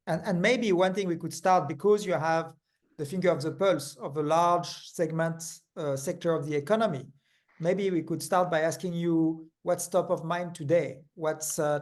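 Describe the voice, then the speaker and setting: A man's voice: fairly high pitch, fairly even intonation, normal speed. A man with a Danish accent, co-hosting an interview, talking hesitantly with many fillers. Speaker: co-host, management consultant.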